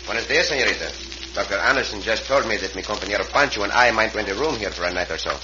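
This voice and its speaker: An actor playing a courteous, assured Mexican gentleman adventurer in a radio western, with a Mexican accent and Spanish-sprinkled English. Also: fairly low pitch, racing, close to an everyday voice. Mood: polite. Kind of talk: 1950s radio western acting. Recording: noisy, muffled old radio recording.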